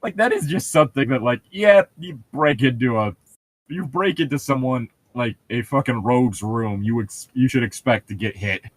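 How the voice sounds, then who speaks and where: A man with a mid-pitched voice co-hosts a comedy read-along podcast. He talks in loose, casual banter, full of swearing and crude jokes. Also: moderate pace, no echo. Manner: crude, profane joking. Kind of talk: casual banter between book readings.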